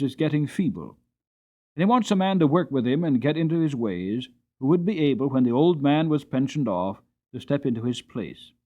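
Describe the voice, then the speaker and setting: A man voicing a grave but kindly Victorian master of the house in an audiobook, measured, formal and genial. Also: mid-range voice, a little mellow, muffled recording, natural voice. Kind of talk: dramatic audiobook character reading.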